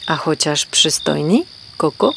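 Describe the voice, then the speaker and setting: A woman's voice: low-pitched, animated, a little slow, full character voice. A woman voicing a reluctant, fretful hen who clucks her questions in a children's audio play. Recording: clear outdoor cricket noise behind.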